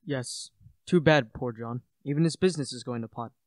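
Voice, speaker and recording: A teenage male student actor playing a sensible, dismissive gentleman in a radio play, brisk and matter-of-fact. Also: medium pitch, dry recording, studio quality, natural voice. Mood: concerned. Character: sensible, dismissive gentleman, loyal old friend.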